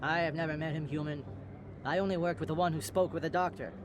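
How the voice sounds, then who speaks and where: An actor playing a sly, nervous alien shopkeeper with a high, sing-song voice. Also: male voice, fairly even intonation, fast talker, natural voice. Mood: gloating. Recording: game audio with music.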